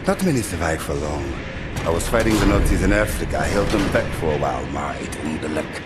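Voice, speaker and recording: An actor playing a worn-down captive former soldier in a video game, resigned yet friendly, with halting speech. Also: male voice, sits low, sing-song. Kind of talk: cinematic video game acting. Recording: loud music and machinery behind.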